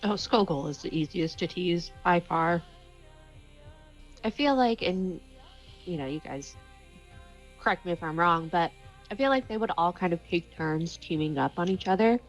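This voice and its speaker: A woman's voice: on the low side, lively intonation, normal speed, long pauses. A woman playing a tabletop role-playing game, casually describing her character and checking ideas with the others. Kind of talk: casual improvised character discussion. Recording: slightly dull, limited-band sound. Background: faint music.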